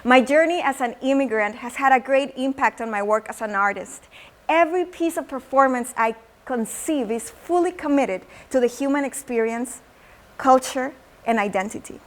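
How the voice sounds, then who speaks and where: High-voiced Mexican woman. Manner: slow, measured poetic delivery with Spanish words. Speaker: teaching artist. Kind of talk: spoken word poetry and teaching.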